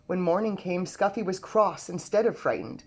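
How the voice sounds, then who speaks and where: A woman narrating a children's storybook aloud in an expressive storytelling manner. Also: lowish voice, normal speed, breathy edge. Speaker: storybook reader.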